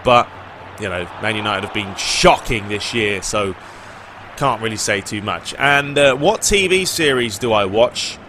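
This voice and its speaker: A man's voice: lowish voice, expressive, measured pace, pushed and bright, a little hiss or hum. A man hosting a gaming video, chatting informally and giving his opinions as he answers questions in a casual commentary style.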